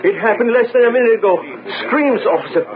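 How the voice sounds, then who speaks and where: High, agitated frightened neighbour. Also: male voice, lively intonation, fast. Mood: horrified. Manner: agitated, melodramatic. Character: frightened, agitated French neighbour at a crime scene. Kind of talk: old-time radio drama acting. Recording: narrow-band old radio recording.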